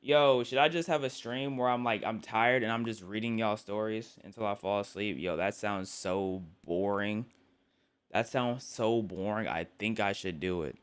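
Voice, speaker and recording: A man's voice: on the low side, moderate pace, smooth. A tired young man live-streaming late at night, rambling and joking with his chat, often repeating and restarting his words. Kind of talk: casual live-stream chatter.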